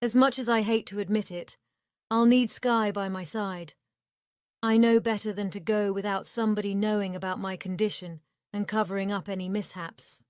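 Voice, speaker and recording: Casting: actress as headstrong young fantasy oracle, clear medium-pitched voice, assertive, earnest. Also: female voice, steady tone, normal speed, dry recording, clean studio sound, lightly acted. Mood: determined, conciliatory. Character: determined young female oracle yearning for freedom. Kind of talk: audiobook drama acting.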